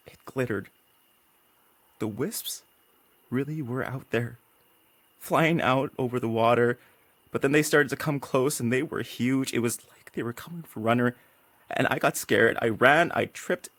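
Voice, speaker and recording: A man's voice: medium pitch, a bit bright, dry recording, lightly acted. A male actor playing a curious, frightened son in a post-apocalyptic audio drama.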